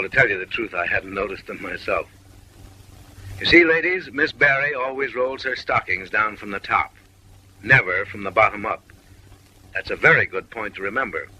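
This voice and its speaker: A male narrator delivers a comic film voice-over in a mock-refined, lecturing tone, slipping in sly, suggestive asides. His voice sits a little low but has a hard, piercing brightness that cuts through. The delivery stays wry and knowing throughout. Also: slightly rough, poor audio.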